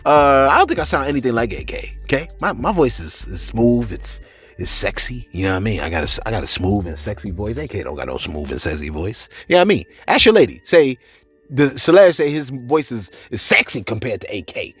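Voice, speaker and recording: A man hosting a casual commentary show, reading posts aloud and answering viewers with animated, mock-angry bursts. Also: medium pitch, brisk, husky, soft background music. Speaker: commentary channel host.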